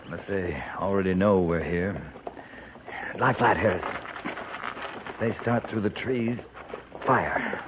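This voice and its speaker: Injured frontier army captain, terse, steady authority, weakened and winded, 1950s radio drama, thin noisy broadcast sound. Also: male voice, deep voice, takes it slowly, long pauses, highs cut off. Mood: hushed, tense. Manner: terse, commanding, knowing. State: weakened by injury, short of breath. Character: wounded, weary, steady cavalry captain on the frontier. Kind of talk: 1950s radio western acting.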